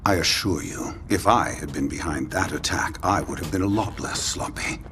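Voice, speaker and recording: An actor playing a menacing, sardonic male mutant villain leader in a video game, speaking slowly and deliberately. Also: low-pitched, noisy background, close to an everyday voice. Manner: cold, sardonic, slow and deliberate. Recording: game audio with loud music behind.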